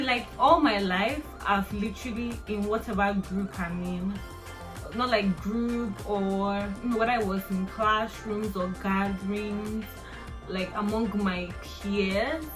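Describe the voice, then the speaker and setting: A woman in her twenties with a Nigerian accent, chatting in a rambling, laughing way on her own vlog. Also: mid-range voice. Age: 20s. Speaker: vlogger. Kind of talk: casual personal vlog.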